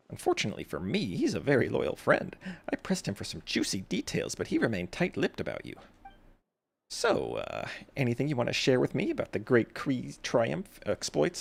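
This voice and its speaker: A man's voice: mid-range voice, vocal fry, breathy edge, full character voice. A man voicing a chatty young female reporter in a video game, with a breezy, dramatic manner. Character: young female tabloid-savvy reporter, chatty, theatrical, earnest.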